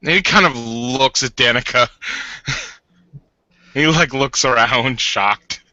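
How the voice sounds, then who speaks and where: Man, tabletop roleplay narration, very bright tense tone, stop-start phrasing, slightly muffled audio. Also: medium pitch, slow, no echo. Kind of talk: casual in-character roleplay with narration.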